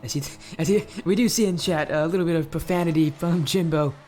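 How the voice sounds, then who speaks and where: High-voiced young male esports caster. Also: fairly quick, darkish tone, faint music behind. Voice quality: high-pitched. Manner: chatty, rambling, replies to chat mid-play. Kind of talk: live game commentary.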